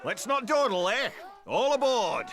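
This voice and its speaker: An actor playing a jovial rustic wagon driver in a video game, with folksy, hearty, colloquial speech. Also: male voice, very high voice, sing-song, a little slow, noisy background, full character voice. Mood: cheery. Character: jovial rustic male wagon driver, plain-spoken rescuer.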